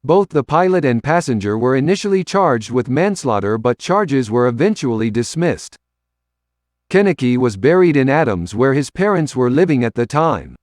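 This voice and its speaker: A man's voice: medium pitch, very animated, moderate pace, a little mellow, dry recording, clean studio sound. An American man giving scripted documentary narration for a local history program.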